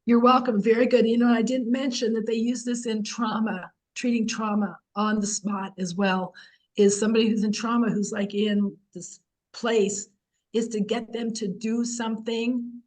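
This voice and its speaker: A woman's voice: on the high side, flat delivery, moderate pace, darkish tone, rough recording. A woman teaching a Torah class on a video call, explaining earnestly while her voice is going. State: losing her voice.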